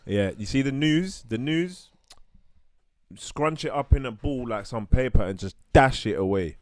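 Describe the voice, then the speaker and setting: A man joking around in a relaxed, slangy way on a reaction video. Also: medium pitch, a little slow, no room sound. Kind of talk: casual reaction chat.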